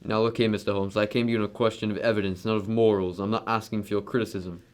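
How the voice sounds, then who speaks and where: A man's voice: fairly low pitch, level tone, fast talker, a little mellow, lightly acted. A man playing a proud, defensive wealthy husband in an amateur radio drama, speaking bluntly.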